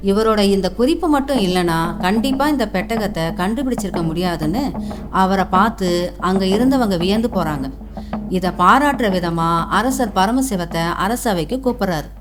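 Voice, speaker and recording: A woman narrator tells a scripted tale in a mid-pitched voice. Music plays clearly behind her as she speaks. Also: expressive, normal speed.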